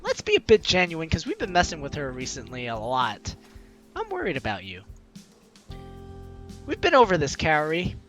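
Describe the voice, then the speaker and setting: A young adult host doing casual, playful game commentary and theatrically reading the dialogue aloud in an excitable way. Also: medium pitch, normal speed, pauses often. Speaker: let's play host. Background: faint game music.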